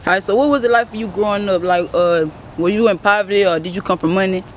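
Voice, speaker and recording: A man hosting a casual interview and asking questions in relaxed slang. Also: high-pitched, a little hiss or hum. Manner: casual, slangy, quick affirmations. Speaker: interviewer, host.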